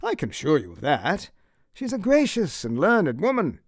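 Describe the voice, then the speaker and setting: A man voicing a self-assured gentleman in an audiobook, formal, measured and placating in his wording. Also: fairly high pitch, wildly swooping pitch, dry recording, studio quality, voice pushed up, heavily acted. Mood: considering, assuring. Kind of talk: audiobook character acting.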